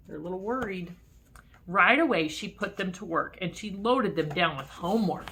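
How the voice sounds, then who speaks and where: A woman's voice: on the low side, bright, forceful tone, a little hiss or hum. A woman reading a picture book aloud to children as a teacher, expressively and with casual asides.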